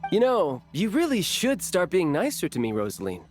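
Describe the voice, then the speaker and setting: A man's voice: sits high, huge pitch swings, normal speed. An actor playing a cheeky, cocky young man with a breezy, teasing manner in a video game. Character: cheeky, cocky, playful young male troublemaker. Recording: music under the voice.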